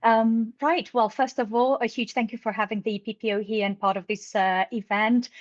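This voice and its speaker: A woman's voice: on the high side, steady tone, moderate pace, dry recording, lo-fi sound. A woman from Luxembourg working as an HR recruiter, speaking on an online panel in a friendly, fluent way with frequent fillers. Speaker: HR recruitment officer, webinar panelist. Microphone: video call.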